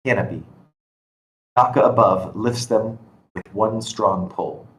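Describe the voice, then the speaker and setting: A man's voice: lowish voice, steady tone, slow, poor audio. A man reading scripted stage directions as narrator at a screenplay table read. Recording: constant background noise.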